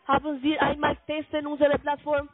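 A woman with a foreign accent in German on a sales call, halting and insistent with her questions. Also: sits high, completely flat delivery, a loud crowd. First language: not German. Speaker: call center sales agent. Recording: narrow-band phone line.

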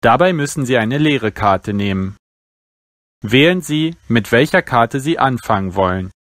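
A man working as a German teacher, reading exam practice texts aloud in a slow, careful and clearly articulated way. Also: mid-range voice, lively intonation, a bit bright, no room sound, studio quality.

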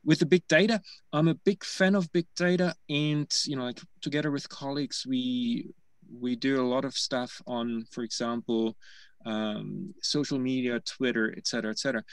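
A man's voice: medium pitch, fairly even intonation, normal speed, clear, resonant tone, dry recording. A man in his forties giving a thoughtful academic answer on a video call, with many "you know, like" fillers. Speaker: panel speaker.

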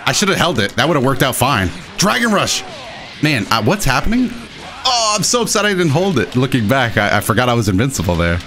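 A man giving casual, excitable commentary while he plays a video game, with playful dramatic flourishes. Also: mid-range voice, brisk, soft background music. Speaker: gaming video host and player. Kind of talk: casual live gameplay commentary.

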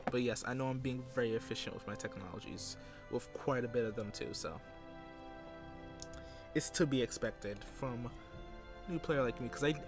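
A man giving casual let's play commentary, rambling and thinking aloud with casual swearing. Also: mid-range voice. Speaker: gamer recording a let's play. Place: indoors at a computer.

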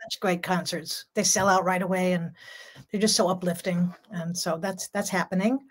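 A woman's voice: lowish voice, fairly even intonation, moderate pace, breathy edge. An older woman talks in a loose, rambling way, laughing between thoughts. Her voice is strong and carries well. She is heard over a home video call. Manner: chatty, laughs often, rambling. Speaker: jazz singer, guest. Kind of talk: casual video-call interview. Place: home room.

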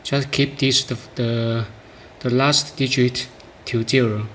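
A man demonstrating a product hands-on, speaking in short, halting phrases, recorded indoors on a handheld device. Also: medium pitch, steady tone, a bit bright, a little hiss or hum. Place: indoor room, beside a tabletop.

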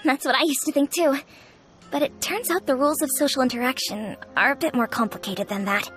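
An actress playing a friendly, talkative young restaurant owner in a video game, speaking in a confiding way. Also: female voice, high-pitched, brisk, a bit bright. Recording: game audio with music bed.

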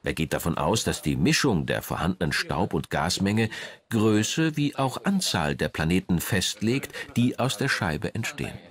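Male documentary narrator, low register with lively intonation, measured and explanatory, over background music. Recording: music under the voice.

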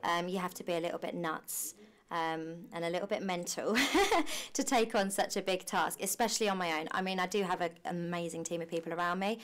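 A young British woman speaks in an informal documentary interview. Her voice sits a little low, and she talks freely and conversationally, like someone who runs a stage production. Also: steady tone, brisk. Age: young adult. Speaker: pantomime director and producer. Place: small costume room indoors.

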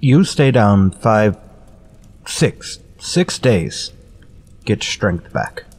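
A man plays a stoic, loyal companion from an old-time western radio show. His husky voice moves slowly and rises and falls a lot, in terse broken English. It rings in a long hall reverb. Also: on the low side, very animated, darkish tone, full character voice. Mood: grave, firm.

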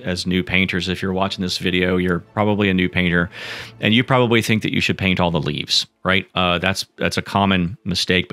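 A man's voice: low voice, bright, forceful tone. A man giving a casual, rambling painting lesson, full of fillers and restarts. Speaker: painting instructor. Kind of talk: casual painting tutorial voice-over.

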